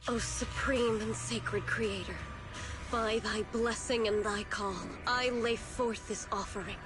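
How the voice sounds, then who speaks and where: High-voiced, lofty female cultist zealot. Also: steady tone, takes it slowly. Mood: fervent, reverent. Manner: lofty, ceremonial, taunting. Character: serene, scheming female cultist zealot preaching salvation. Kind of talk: dramatic video game voice acting. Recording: loud game music behind. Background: music.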